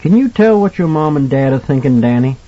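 A man voicing a jovial, kindly older mentor with a husky voice and a folksy, colloquial manner in an audiobook. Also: a little high, lively intonation, fairly quick, highs cut off, pitched above the performer's own voice. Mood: gentle, probing. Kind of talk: audiobook character acting.

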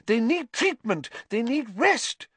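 A man voicing an older female school nurse who talks in a shrill, insistent, hysterical way. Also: very animated, takes it slowly, a bit bright, slightly rough, no room sound, full character voice. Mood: indignant.

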